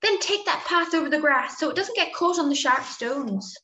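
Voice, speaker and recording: A woman's voice: high-pitched, lively intonation, normal speed, sharp tone, voice pushed up. An Irish woman voicing a polite, chatty, helpful storm petrel in a children's storybook. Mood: surprised, helpful. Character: content storm petrel seabird, surprised, helpful. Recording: muffled, echoey room recording.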